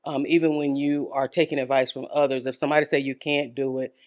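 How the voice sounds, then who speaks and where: A woman, telling her life story as a podcast guest, earnest and encouraging, with frequent ums and soft laughs. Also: a bass voice, little pitch movement, quick pace. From Florida, United States. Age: 50s. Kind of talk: casual interview storytelling.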